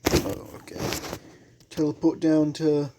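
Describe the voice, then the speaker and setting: A man's voice: a little high, animated, slow, warm tone, slight background noise. A man giving casual let's play commentary, musing idly to himself. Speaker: game streamer.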